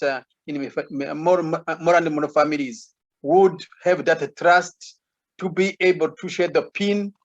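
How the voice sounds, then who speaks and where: A man on a panel who explains his point carefully and haltingly, with many restarts, over a video call. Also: fairly high pitch, highs cut off. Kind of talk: online panel discussion.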